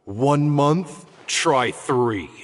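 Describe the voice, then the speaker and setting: A man's voice: medium pitch, animated, extremely slow. An actor playing a stern older male scholar who berates others with eloquent sarcasm in a video game. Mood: stern, sardonic. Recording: music under the voice.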